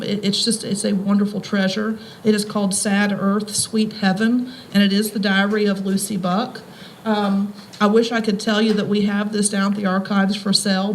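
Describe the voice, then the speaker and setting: A woman's voice: mid-range voice, vocal fry. An American woman from Virginia giving an informal public talk and reading aloud, addressing listeners directly in a folksy way. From Front Royal, Virginia, USA. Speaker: schoolteacher, heritage society president giving a reading. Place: live talk at a historic house tour. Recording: faintly noisy live event recording.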